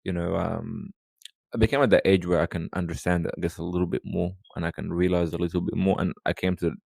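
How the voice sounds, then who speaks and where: Deep gravelly guy from sudan rambling through old stories, dry close mic, lots of you know. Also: level tone, fast, darkish tone, no room sound. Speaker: podcast guest. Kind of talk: casual storytelling conversation.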